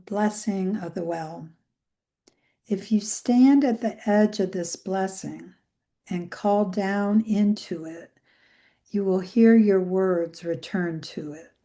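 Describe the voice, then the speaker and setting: A woman leading a guided meditation in a gentle, soothing way, with soft phrase endings that trail into breath. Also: on the low side. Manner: gentle, soothing, unhurried with long pauses.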